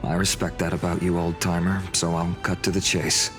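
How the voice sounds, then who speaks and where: A man voicing a calm, earnest young hero and leader in a video game, speaking in a measured, polite way. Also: low voice, natural voice. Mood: grave. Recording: music under the voice.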